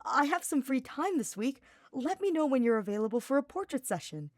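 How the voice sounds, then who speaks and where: A woman's voice: high voice, sing-song, brisk, dry recording, studio quality, pitched above the performer's own voice. A woman voicing a timid, stammering, eager young man, an archer in a fantasy story. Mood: delighted. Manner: meek, hesitant, stammering.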